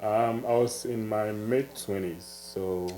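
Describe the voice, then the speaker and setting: A man's voice: lowish voice, takes it slowly, warm tone, slight background noise. A Ghanaian man in his thirties with a Ghanaian English accent, guest on a casual interview, laughing and poking fun at himself as he talks. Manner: laughs through his stories, self-mocking.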